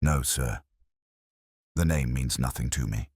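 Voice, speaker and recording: A man's voice: rumbling low, measured pace, a little mellow, breathy edge, no room sound, clean studio sound, full character voice. A man voicing a nervous, deferential young Victorian draper in an audiobook mystery. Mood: fearful, earnest. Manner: nervous, deferential, plain-spoken. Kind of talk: audiobook detective story.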